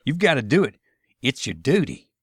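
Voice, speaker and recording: A man voicing a gruff, pushy Confederate soldier with a Southern drawl, scolding his comrade in a story reading. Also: medium pitch, huge pitch swings, dry recording, clean studio sound, voice pushed up. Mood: insistent, scolding. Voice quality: slow drawl. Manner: insistent, scolding, folksy.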